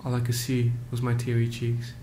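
A man in his late teens, a student, solemnly reading a poem in deliberate phrases into a webcam at home. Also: completely flat delivery, mellow, low-quality recording. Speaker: student poet. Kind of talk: poetry reading. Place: living room, close webcam. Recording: faint rumbling background noise.

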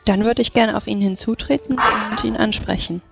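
A woman's voice: mid-range voice, steady tone, a little fast, creaky. A woman playing a tabletop role-playing game, describing her moves in casual game talk. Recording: dull microphone, background music.

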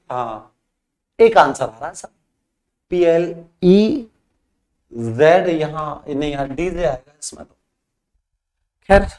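A man with a Rajasthani Hindi accent teaches an English exam class in Hindi. His voice sits fairly high. He quizzes the students and then gives the answers himself, drawing English words out slowly as he writes on the board. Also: darkish tone. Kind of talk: exam practice lesson. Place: classroom studio with a whiteboard screen.

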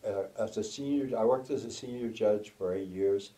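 A man's voice: on the low side, normal speed, warm tone. A man in his eighties, a retired judge, telling rambling stories in an oral history interview and acting out the quotes. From United States. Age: 80s. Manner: rambling, anecdotal, acts out quotes. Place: formal office with flags, seated on sofa.

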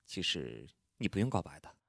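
A man's voice: low voice, very animated, normal speed, creaky, fairly bright tone, no echo, clean studio sound, exaggerated voice. A man acting a blunt, impatient yet teasing young man in a Chinese audio drama. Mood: soft, teasing. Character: curt, teasing young man, secretly fond of his roommate.

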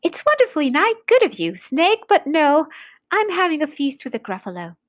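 A woman's voice: high-pitched, wildly swooping pitch, normal speed, pitched above the performer's own voice. A woman voicing a small, clever, boastful mouse from a storybook, in an emphatic sing-song manner. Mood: cheerful, boastful.

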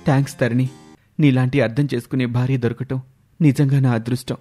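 A man voicing a gentle, sorrowful young husband in an animated story, speaking earnestly. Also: mid-range voice, fairly quick, faint music behind, natural voice. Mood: grateful. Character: gentle, dejected young husband, honest and loving. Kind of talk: Telugu animated moral story dialogue.

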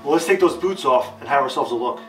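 A man's voice: medium pitch, lively intonation, fast, close to an everyday voice, rough recording. An American man in his thirties playing an authoritative army captain, giving formal, scripted instruction in a retro military training film. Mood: instructive, assured. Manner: formal, didactic, stilted. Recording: music under the voice. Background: background guitar music.

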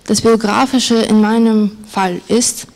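Woman author on stage, 30s, mellow, halting, stops and restarts often, handheld mic. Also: on the high side, steady tone. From former Soviet Union. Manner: hesitant, with many fillers and restarts. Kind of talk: stage interview and reading aloud. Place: literary event on a stage, indoors.

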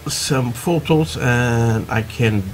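A man from Portugal with a Portuguese accent, chatting haltingly through a hobby tutorial with many fillers, while building at a workbench. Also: mid-range voice, faint music behind. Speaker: scale model builder. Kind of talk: casual hobby tutorial talk. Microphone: camera over work desk, hands only.

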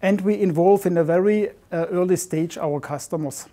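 A man in his fifties answering interview questions in a measured, slightly formal way, recorded on a lapel mic in an office. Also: high voice, warm tone. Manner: measured, slightly formal, non-native phrasing. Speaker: software development manager. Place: modern office.

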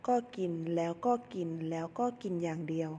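A woman's voice: fairly low pitch, fairly quick, dark tone, a little hiss or hum. A young woman student narrating a children's story in short, simple phrases, in a reverberant hall. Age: young adult.

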